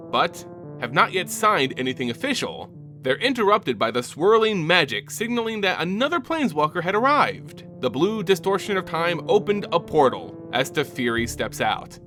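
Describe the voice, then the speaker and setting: A man narrating a fantasy game's story as a dramatic lore reading, in a lively storytelling manner. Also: fairly high pitch. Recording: music bed underneath.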